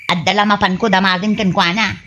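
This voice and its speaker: A woman playing an excitable, bickering, scatterbrained wife in an Ilocano radio comedy, exclaiming often in an Ilocano Filipino accent. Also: medium pitch, brisk, dull audio, a little hiss or hum, close to an everyday voice. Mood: flustered, indignant. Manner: exclaiming, animated.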